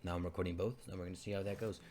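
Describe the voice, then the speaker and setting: A man's voice: deep voice, steady tone, rapid-fire, very noisy. A man hosting a gaming video, rambling casually with many fillers and restarts as he comments on his play. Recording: loud game sounds and hoofbeats behind.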